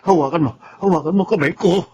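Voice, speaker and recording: Casting: actor for Filipino male office employee, higher-pitched voice, emotional radio-drama delivery. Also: quick pace, warm tone, lo-fi sound, slight background noise, natural voice. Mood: panicked, dazed. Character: boastful male office worker, later shaken and remorseful.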